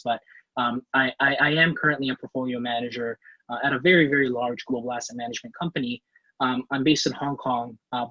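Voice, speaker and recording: A man's voice: medium pitch, fairly bright tone, husky, dry recording, muffled recording. A man in his thirties from Canada, a portfolio manager giving an online career class, friendly and eager, with many fillers and restarts. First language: English.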